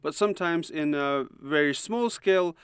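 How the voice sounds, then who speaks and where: A man whose native tongue is Uyghur speaks in an explanatory conference-lecture manner, pausing often on soft "uh"s. His voice sits a little high for a man and sounds smooth and resonant. The recording is close and dry, with no room echo. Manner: explanatory, many filler "uh"s, measured. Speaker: university lecturer and translator. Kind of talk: conference talk.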